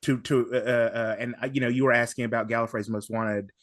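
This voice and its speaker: A man speaks enthusiastically as a guest in a casual fan discussion. His pitch is average and the sound is dry. He rambles in a halting way, often circling back to reword things. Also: flat delivery, no room sound. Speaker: podcast guest.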